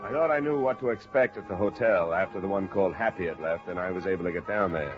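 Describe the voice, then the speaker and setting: Male actor for hard-boiled American private investigator, low register, sardonic and clipped, old muffled radio serial sound. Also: fast, lightly acted. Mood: grim narrating. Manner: wry, weary, clipped delivery. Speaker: lead actor and narrator. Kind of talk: 1950s radio detective acting. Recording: muffled vintage radio broadcast, music behind.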